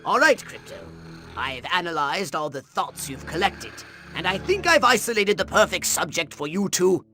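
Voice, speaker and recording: An old, scornful alien commander in a video game. The delivery is theatrical and pompous, leaping around in pitch with a sharp, forceful edge. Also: male voice, very animated, moderate pace, faint music behind, full character voice. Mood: brisk, smug. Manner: pompous, theatrical, grandly enunciated.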